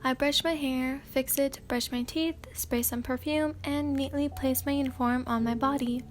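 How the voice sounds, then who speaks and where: A woman hosting a sleepy ASMR channel, reading fan fiction aloud in a soft, hesitant voice with many fillers and throat clears. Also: high-pitched, steady tone, moderate pace.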